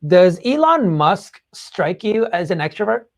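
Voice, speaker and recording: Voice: medium pitch, very animated, a little slow. A co-host of a live show chatting casually and giving opinions in a blunt way, with casual swearing.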